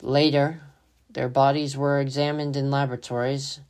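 A man's voice: mid-range voice, level tone, normal speed, a little mellow. A man giving solemn, dramatic scripted narration as the storyteller of a radio play.

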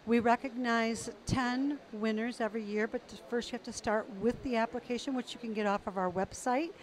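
A woman's voice: fairly high pitch, fairly even intonation, brisk, slight background noise. A middle-aged woman answering interview questions about an awards program, informative with some fillers, on a handheld mic in an exhibition hall. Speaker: program representative.

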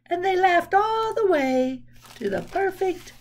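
A woman in her sixties reading a children's story aloud at home, expressive, with simple explanatory asides. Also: very high voice, slow. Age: 60s. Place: small room at home.

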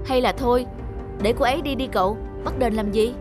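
A woman voicing a soft-hearted, chubby young man in a cartoon, a concerned friend who speaks with gentle sympathy. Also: fairly high pitch, fairly quick, music in the background, natural voice. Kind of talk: cartoon voice acting.

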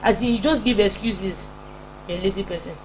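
A woman's voice: mid-range voice, brisk, low-quality recording. A young Nigerian woman gives an informal motivational sermon in an emphatic, repetitive, scolding manner with a Nigerian accent. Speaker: preacher and broadcast host. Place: small indoor home-style studio. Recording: clear background hum and noise.

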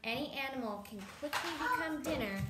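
A woman's voice: high voice, big pitch swings, a little fast. A woman in her thirties reading a picture book aloud to young children, adding simple explanations and questions. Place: indoors in a home room, seated at a table. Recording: echoey room, clearly noisy home recording.

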